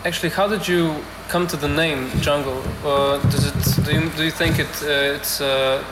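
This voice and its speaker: Guy interviewing, higher-ish voice, halting, roomy echo and noise. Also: steady tone. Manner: halting, searching for words. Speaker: radio interviewer. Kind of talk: informal interview. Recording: echoey room with noticeable noise.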